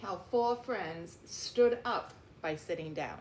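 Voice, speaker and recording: A woman reading a picture book aloud like a teacher, with deliberate, emphatic phrasing and explanatory asides, in a roomy recording. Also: on the low side, animated, darkish tone. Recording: roomy echo, faint background noise.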